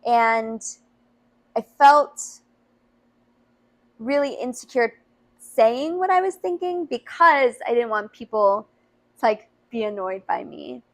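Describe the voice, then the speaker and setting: A young woman who works as a youth minister, talking earnestly on a podcast in a high voice. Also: animated, slow, long pauses. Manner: earnest, frequent "to be honest" and "like". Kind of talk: casual chat.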